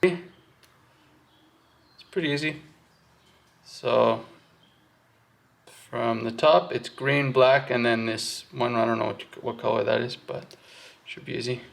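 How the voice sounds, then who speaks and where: A man with a noticeably gravelly, fry-edged voice at an average pitch talks through a hands-on job. He sounds informal and unscripted, thinking aloud as he explains each step. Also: fairly even intonation, slow pace, pauses often. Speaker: host of a hands-on wiring walkthrough. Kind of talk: casual workshop explanation while working.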